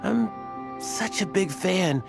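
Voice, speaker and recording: Lively, earnest, flustered superfan. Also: female voice, mid-range voice, takes it slowly, music in the background. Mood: gushing, proud. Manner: hesitant, gushing, stammering, self-important. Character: earnest, flustered, gushing idol fan club leader, young adult. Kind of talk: animated game dialogue.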